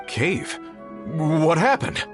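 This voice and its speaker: A man's voice: a little high, animated, very slow, over music. A man voicing an earnest, polite leader of a search party in a video game, with a concerned manner. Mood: surprised, relieved.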